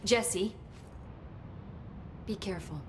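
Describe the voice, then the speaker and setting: A woman's voice: mid-range voice, slow pace, natural voice. An actress playing a sober, cautioning woman who speaks in a serious, matter-of-fact way in a video game. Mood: serious concern. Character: sober, cautioning woman giving practical directions. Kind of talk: video game cinematic acting. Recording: echoey room, noisy ambience.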